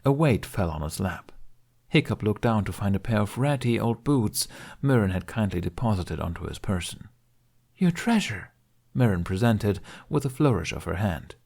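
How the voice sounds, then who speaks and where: Low, gravelly male audiobook narrator. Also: animated, moderate pace, a little mellow. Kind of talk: scripted story narration.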